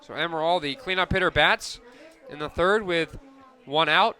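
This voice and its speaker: A man calling softball play-by-play for radio, narrating each pitch and play in crisp descriptive detail. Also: moderate pace. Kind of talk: live softball radio commentary.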